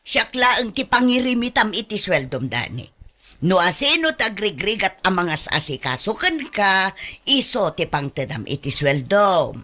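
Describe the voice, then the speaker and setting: A woman's voice: medium pitch, sing-song, unhurried, bright, forceful tone, full character voice. A woman with an Ilocano Filipino accent playing a possessive, money-grasping older mother in a radio drama, insistent and indignant.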